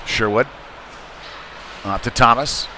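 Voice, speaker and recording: A middle-aged or older man doing live ice hockey play-by-play in a conversational, halting way with short clipped phrases. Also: medium pitch. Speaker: play-by-play commentator. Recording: noisy live arena sound. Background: rink noise and ambience.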